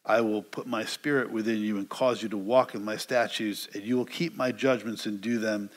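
A man's voice: lowish voice, fairly even intonation, normal speed. A middle-aged American man, a pastor teaching verse by verse in a conversational, emphatic way, repeating words for emphasis. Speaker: pastor teaching a Bible study. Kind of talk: expository sermon, verse-by-verse Bible teaching. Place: indoors, church stage with stone wall.

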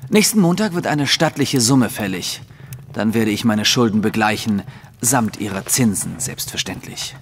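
A man's voice: fairly low pitch, lively intonation, moderate pace. An actor playing a haughty, secretive nobleman in an audio drama, speaking formally and with self-assured command. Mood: businesslike, urgent. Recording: reverberant hall, faint background noise.

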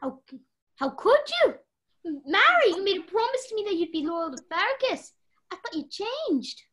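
A woman acting a snobbish, high-strung married lady in a mystery radio play, emotional and imperious. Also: big pitch swings, moderate pace, pushed and bright, full character voice. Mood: shocked, hurt.

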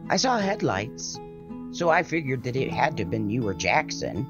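Kindly elderly farm grandmother played by a woman, audio drama, very low swooping voice, soothing. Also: very animated, moderate pace. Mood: gently weary. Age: older. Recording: muffled audio under guitar music.

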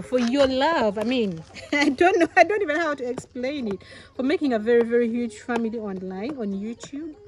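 Giggly excited young woman from Uganda. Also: a little high. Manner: giggly, breathless with excitement, frequent laughs and exclamations. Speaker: vlogger. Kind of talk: excited unboxing vlog. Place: outdoors in a rural village yard, seated on a mat.

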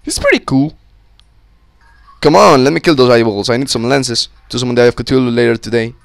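A young man with a slightly sick voice, giving casual, excitable commentary on a co-op game with friends on a close mic. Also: medium pitch, fairly quick, vocal fry, dry recording. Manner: excitable, swearing, joking with friends. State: sick, voice a little off. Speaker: gameplay commentator. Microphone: close-up desk mic.

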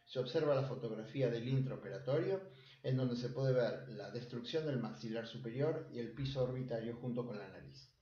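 An Argentine man, a surgeon, reads a medical case presentation in a measured, formal tone. His voice is mellow and relaxed, and it sounds dull and a little roomy, recorded at a desk webcam in a small office. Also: mid-range voice. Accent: Argentine Spanish. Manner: measured, formal clinical reading.

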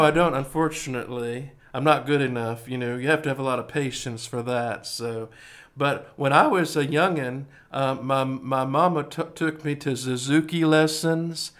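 A man's voice: mid-range voice, fairly bright tone. A man in his thirties playing piano on a live stream, chatting to viewers in a rambling way and laughing easily. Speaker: singer and pianist streaming live. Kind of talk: singing with piano, then casual chat to viewers. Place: indoors before a green-screen backdrop. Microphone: studio mic with pop filter. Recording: piano music under voice.

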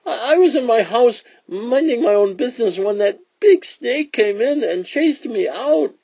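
A timid little rabbit from an animal folktale. The voice sits very high and swings about as it pleads and stammers its excuses. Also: male voice, expressive, a little slow, gravelly, a little mellow, breathy edge, no room sound, heavily acted. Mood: frightened, defensive. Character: timid little rabbit, flustered and blaming others.

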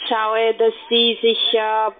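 A non-native speaking woman, a call-center agent making an insistent, questioning sales pitch by telephone. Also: monotone, takes it slowly. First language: not German. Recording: noisy narrow telephone line.

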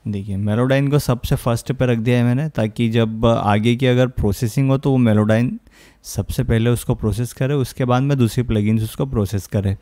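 A man giving an informal, step-by-step software tutorial as a music production host. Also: medium pitch, steady tone, brisk, no echo. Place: close, dry indoor voice-over.

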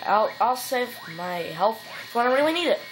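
A woman's voice: fairly high pitch, expressive, moderate pace, noisy background. A woman casually commenting on her gameplay, rambling with many fillers, giggles and silly rhymes, recorded on a phone at home. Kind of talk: casual let's play commentary. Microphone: phone filming the TV.

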